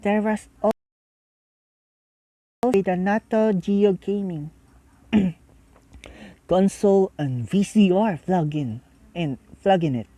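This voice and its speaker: Slow-paced woman reading a visual novel aloud. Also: mid-range voice, dark tone, no echo, rough recording. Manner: halting, stumbles over words and rereads. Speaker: reader and commentator. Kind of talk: visual novel read-aloud with casual asides.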